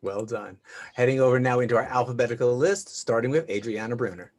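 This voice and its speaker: A man's voice: medium pitch, fast talker. A man hosting an online networking event, keeping things moving with upbeat, chatty remarks over a video call. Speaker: host.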